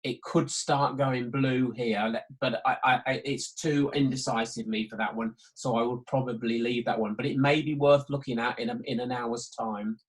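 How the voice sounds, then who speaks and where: A man's voice: mid-range voice, little pitch movement, normal speed, hardly any pauses, rough recording. A man giving a live market walkthrough as a betting trading tutor, thinking aloud and often repeating himself. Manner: hesitant, repetitive, thinking aloud. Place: online conference call.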